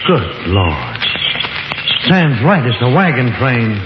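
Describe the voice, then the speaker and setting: An actor playing a learned, authoritative professor with a measured, explanatory manner in a 1950s radio horror drama. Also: male voice, medium pitch, wildly swooping pitch. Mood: intrigued. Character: calm, authoritative, learned male professor exploring caverns. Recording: very noisy, echoey, muffled old radio recording.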